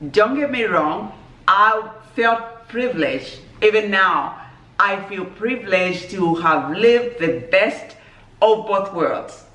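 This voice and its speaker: A woman from Zambia, chatting casually on her vlog in a relaxed, measured way and laughing easily. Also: mid-range voice. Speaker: vlogger. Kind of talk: casual chat. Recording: echoey room, mic at a distance.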